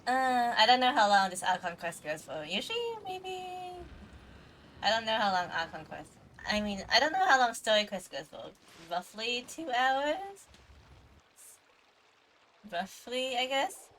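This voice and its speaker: A woman's voice: fairly high pitch, sing-song, unhurried, a bit bright, a little hiss or hum, low-quality recording. A woman chatting casually on a game livestream in a hesitant, rambling way, often trailing off. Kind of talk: casual game stream chat. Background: faint game music.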